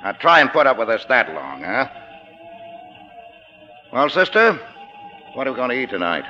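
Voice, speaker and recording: An actor with an American accent playing a cocky, domineering middle-aged gangster boss in 1940s radio style, mock-friendly and smoothly menacing. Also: male voice, mid-range voice, big pitch swings, normal speed, bright, forceful tone. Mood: smug, menacing. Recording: dull 1940s radio recording, faint music.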